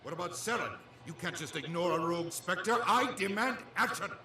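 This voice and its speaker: A man's voice: sits high, animated, normal speed. A man playing an indignant, demanding ambassador in a sci-fi video game. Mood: outraged. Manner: forceful, demanding. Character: indignant, blustering human ambassador. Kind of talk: scripted video game acting. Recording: very echoey chamber, faint noise.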